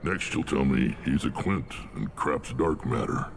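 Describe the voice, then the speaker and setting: Hugely gravelly alien warlord. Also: male voice, animated, a little slow, exaggerated voice. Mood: commanding, wry. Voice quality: deep gravelly rumble. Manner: commanding, weighty. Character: huge gruff alien warlord, commanding and wry. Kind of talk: video game dialogue.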